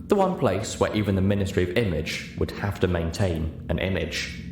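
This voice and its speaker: A man's voice: sits low, normal speed. A man reading a first-person story aloud as scripted audiobook narration. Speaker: audiobook reader. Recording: roomy echo, clear background hiss.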